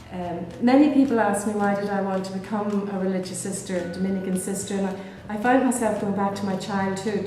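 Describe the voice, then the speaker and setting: A middle-aged woman with a Belfast accent, a Dominican sister speaking thoughtfully about her life, with frequent ums and restarts. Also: mid-range voice. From Northern Ireland. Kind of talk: reflective personal interview. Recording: echoey room with music underneath.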